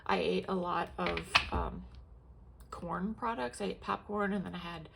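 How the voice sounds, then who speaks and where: A woman's voice: on the low side, measured pace, creaky, slightly rough. A young adult woman chatting casually as she shows her planners, congested and sniffling, rambling with lots of fillers and restarts. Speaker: planner vlogger. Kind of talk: casual show-and-tell chat.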